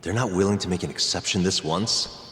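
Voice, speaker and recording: An actor playing a calm, stubborn young defense lawyer in a video game drama, earnest, measured and understated. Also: male voice, sits low, reverberant, in a large hall. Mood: cool, probing.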